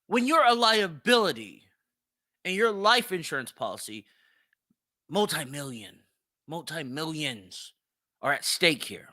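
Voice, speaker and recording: A man's voice: fairly high pitch, expressive, unhurried, pauses often, pushed and bright, no echo, clean studio sound. A man hosting an opinionated solo commentary show, speaking bluntly and emphatically, swearing freely and repeating phrases for stress. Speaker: podcast host.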